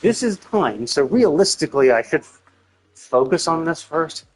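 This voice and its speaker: A man livestreaming a game with casual, rambling commentary, thinking out loud, cutting himself off and swearing freely. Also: on the high side, very animated, normal speed, poor audio. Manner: casually profane. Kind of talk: casual gameplay stream commentary.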